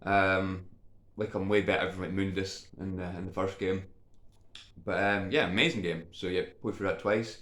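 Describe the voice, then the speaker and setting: A man's voice: low voice, steady tone, normal speed, a bit bright. A man chatting casually to viewers as a video game vlogger, slightly congested with a cold, in an informal, hesitant, meandering way. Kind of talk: casual rambling chat to viewers. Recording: echoey untreated room.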